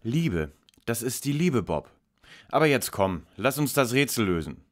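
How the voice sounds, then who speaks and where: A man's voice: medium pitch, sing-song, measured pace. A man playing a self-assured, lecturing young detective leader in an audio drama parody. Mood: smug, lecturing. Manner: precise, pompous, lecturing.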